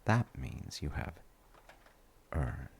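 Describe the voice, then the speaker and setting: A man playing a gentle, reflective dad in an intimate audio roleplay, musing softly and reassuringly to a tired child with thoughtful pauses. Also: big pitch swings, slight background noise, exaggerated voice. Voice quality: gravelly, creaky low voice. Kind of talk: soft intimate sleep-aid audio roleplay.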